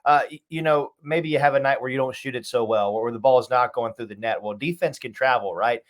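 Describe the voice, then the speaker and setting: A man's voice: medium pitch, fairly quick. A man who works as a radio play-by-play announcer, giving fluent sports analysis as an interview guest.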